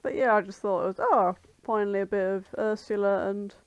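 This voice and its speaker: A woman's voice: mid-range voice, a little fast, clean tone, no room sound, muffled recording. A British woman co-hosting a podcast, chatting casually and informally about fan fiction, with some swearing. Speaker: podcast co-host.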